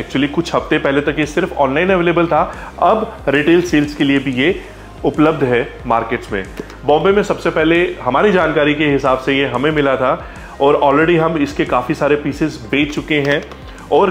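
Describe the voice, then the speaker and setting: A man in his thirties with an Indian accent presents a product review to viewers, explaining directly and checking in with short tags. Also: fairly high pitch. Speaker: host, motorcycle gear store vlogger. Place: small helmet shop, indoors. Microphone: clip-on lapel mic. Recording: roomy echo, clear background noise.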